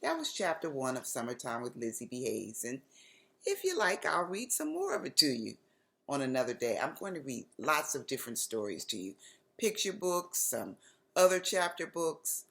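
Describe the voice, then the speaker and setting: A woman with an American accent reading a children's story aloud expressively, with deliberate, dramatic phrasing. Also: extremely low pitch, big pitch swings, gravelly, fairly bright tone.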